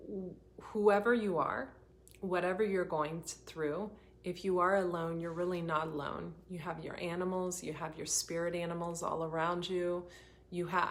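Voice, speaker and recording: A woman hosting a live oracle card reading, talking in an earnest, rambling way, her voice getting hoarse. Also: fairly low pitch. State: voice going hoarse. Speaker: intuitive reader hosting a livestream.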